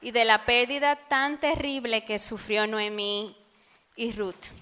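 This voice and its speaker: A woman interpreting a sermon phrase by phrase, quick and fluid, in a church. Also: a little high, flat delivery, sharp tone, in a large hall, slight background noise. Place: church sanctuary.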